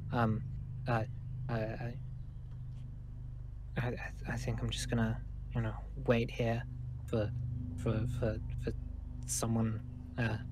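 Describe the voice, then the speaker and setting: A man's voice: mid-range voice, noisy background, close to an everyday voice. A man playing a nervous, awkward but polite survivor in a Minecraft roleplay, hesitant and often stammering over repeated words.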